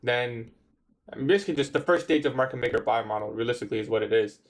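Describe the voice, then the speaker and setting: A man's voice: mid-range voice, fast talker. A man in his twenties chatting casually on a trading livestream, answering viewer questions in a laid-back, slangy way. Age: 20s. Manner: laid-back, slangy, says bro a lot. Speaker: livestream trader.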